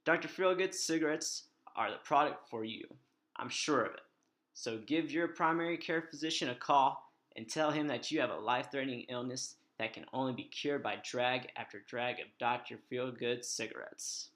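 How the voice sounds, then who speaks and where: Male actor as smug physician-salesman for a radio comedy ad, earnest patter, mid pitch, forward bright tone. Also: normal speed, natural voice. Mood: earnest selling. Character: smug male doctor turned cigarette company boss. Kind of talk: spoof old-time radio advertisement.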